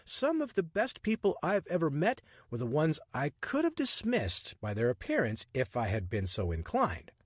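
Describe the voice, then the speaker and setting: A man playing a brash young adventurer who talks people round with breezy enthusiasm, his voice lifted and swooping widely. The tone is a little husky and sits dry and close to the mic. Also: a little high, huge pitch swings, moderate pace, hardly any pauses, dry recording, voice pushed up, full character voice. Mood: charming. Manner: breezy, persuasive, expansive. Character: bold, chatty young male adventurer.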